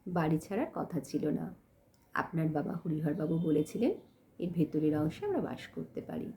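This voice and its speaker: A woman voicing a poor, dignified young village woman who speaks softly and politely in an audio storybook. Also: low voice, expressive, moderate pace. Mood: plain, firm. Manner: soft, polite, pleading but composed. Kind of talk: acted dialogue in audio storybook.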